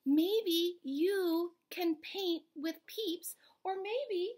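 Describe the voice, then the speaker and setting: High pitched lady teacher doing a kids read-aloud, slow and sing-songy. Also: darkish tone. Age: 30s or 40s. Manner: sing-song, exaggerated expression, prompting with questions. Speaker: children's teacher reading aloud. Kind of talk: picture-book read-aloud for young children. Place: small indoor room at home.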